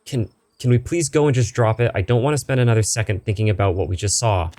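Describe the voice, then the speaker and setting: A man's voice: on the low side, fast talker, a little mellow, dry recording, lightly acted. A man voicing a scared, impatient young woman who snaps and pleads, reading game dialogue aloud. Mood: shaken. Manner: urgent, pleading, snapping. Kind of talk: visual novel character read-aloud.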